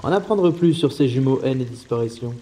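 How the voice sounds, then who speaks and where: An actor playing a composed, wry investigator in command, in a French audio drama, speaking in a measured, dry, authoritative way. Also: male voice, mid-range voice, expressive, dark tone, breathy edge. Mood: wry, determined. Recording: noisy urban ambience.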